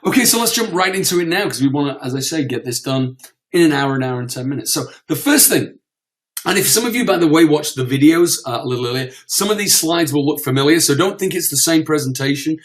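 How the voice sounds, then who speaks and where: A man presenting a live webinar, croaky from a cold, chatty with lots of fillers and repeated words. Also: fairly high pitch, lively intonation, fast.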